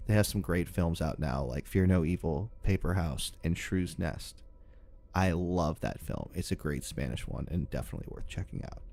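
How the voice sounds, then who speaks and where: Male podcast host for intro and ad read: very low, creaky, warm-dark tone. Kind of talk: scripted intro and sponsor read.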